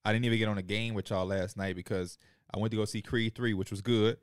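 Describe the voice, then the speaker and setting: A man's voice: lowish voice, steady tone, quick pace, clean tone, dry recording, clean studio sound. A man in his twenties co-hosting a podcast in casual, slangy, emphatic chat. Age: 20s. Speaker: podcast co-host.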